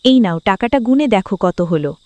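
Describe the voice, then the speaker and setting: A woman's voice: mid-range voice, lively intonation, normal speed, darkish tone, muffled recording, a little hiss or hum, lightly acted. A woman playing a practical, caring village wife and mother in a cartoon, chiding yet consoling. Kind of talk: cartoon dialogue and story narration.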